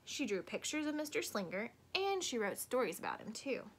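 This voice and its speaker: A woman reading a children's storybook aloud expressively, with deliberate dramatic emphasis. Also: on the high side, big pitch swings, a bit bright, breathy edge. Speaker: storybook reader.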